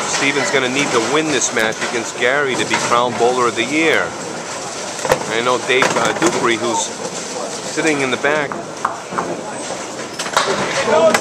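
A middle-aged man gives chatty live commentary on a bowling match, filmed on a handheld camcorder in a big indoor alley. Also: mid-range voice. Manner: chatty, excited on big shots. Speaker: tour commentator filming the match. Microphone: handheld camcorder mic. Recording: loud alley noise behind camcorder audio.

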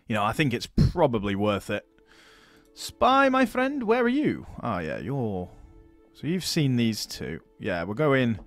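A man's voice: mid-range voice, a bit bright, no room sound, studio quality. A man giving casual let's-play commentary on a strategy game, chatting, laughing often and talking to his units as friends. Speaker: gaming YouTuber.

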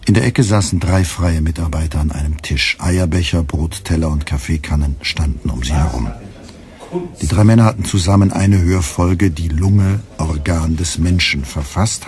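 Weary male editor-narrator, radio drama, very low voice, precise dry delivery, busy room behind. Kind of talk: literary radio play narration, scripted.

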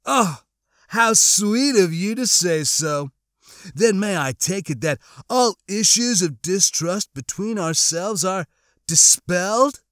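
A man voicing a sly, flattering spider-like alien schemer in an audiobook, unctuous and theatrically sarcastic, drawing words out. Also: high-pitched, slow, dry recording, clean studio sound, voice pushed up, exaggerated voice. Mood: mock-sweet. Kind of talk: audiobook character acting.

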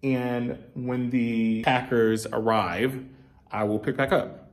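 A man's voice: medium pitch, fairly even intonation, takes it slowly. A man in his thirties talks with a stuffed-up, nasal voice as he walks through his home. He speaks casually and with feeling, calling his viewers y'all. He is filmed on a handheld phone indoors. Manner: chatty, emotional, addresses viewers as y'all. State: nasal congestion. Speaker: vlogger. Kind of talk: casual home-tour vlog. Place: house being packed up, indoors. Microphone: handheld phone camera.

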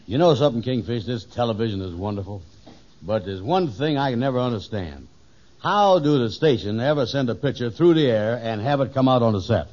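An actor playing a slow-witted, gullible, wisecracking middle-aged pal in an old radio sitcom, with a folksy drawl. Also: male voice, medium pitch, lively intonation, a little mellow, natural voice. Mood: puzzled. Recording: muffled, faintly noisy vintage radio recording.

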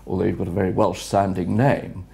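A retired headmaster in his later years answers questions in a measured, unhurried way. His voice is low, mellow and gravelly. He is recorded on a lapel mic in an office room. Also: little pitch movement. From England. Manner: measured, reflective, unhurried with frequent pauses. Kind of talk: interview answers. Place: office room with city view window.

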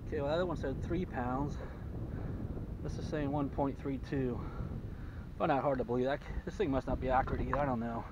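Middle-aged man, casual fishing host, excited chatter, boat-mounted camera with wind noise. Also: medium pitch, brisk, long pauses. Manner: excited, talking to himself while handling fish. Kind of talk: casual fishing vlog narration. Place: small boat on an open lake outdoors. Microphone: action camera mounted on the boat. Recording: distant camera mic, dull sound, wind noise.

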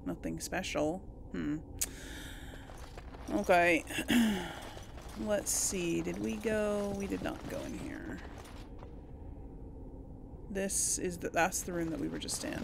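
A woman's voice: on the low side, takes it slowly, gravelly, long pauses, background noise. A woman giving casual let's play commentary, chatting and thinking aloud to her viewers. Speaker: gaming video host.

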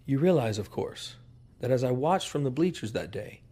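An actor playing a proud, fussy old family servant who scolds in formal, wordy speech in a western audio drama. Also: male voice, medium pitch, animated, moderate pace, dark tone, breathy edge. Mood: stern, probing. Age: older.

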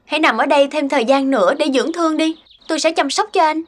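A woman voicing a shy, kind young forest woman in a fairy-tale cartoon, gentle and hesitant. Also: high-pitched, fast, close to an everyday voice. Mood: caring.

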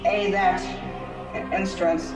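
A woman voicing the solemn, aggrieved ghost of a murdered king, speaking in slow, archaic, portentous phrases in a tabletop game. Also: medium pitch, flat delivery, natural voice. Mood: grave, commanding. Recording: echoey, distant, music underneath. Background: music.

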